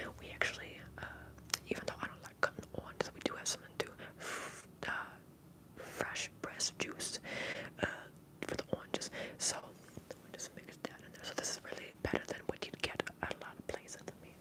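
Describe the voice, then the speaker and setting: A woman from the upper Midwest putting on a Southern American accent, talking softly and haltingly as she hosts an ASMR bartender roleplay livestream. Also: low-quality recording. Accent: American, affected Southern. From upper Midwest, United States.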